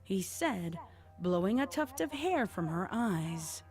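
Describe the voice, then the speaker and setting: A woman's voice: mid-range voice, big pitch swings, takes it slowly, darkish tone. A woman reading scripted fan fiction as the story's narrator. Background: music.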